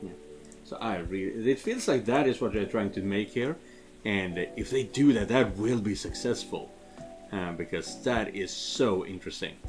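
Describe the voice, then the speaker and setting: Low-voiced man rambling through game commentary. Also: moderate pace, darkish tone, soft background music. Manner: rambling, searching for words. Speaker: video game let's player.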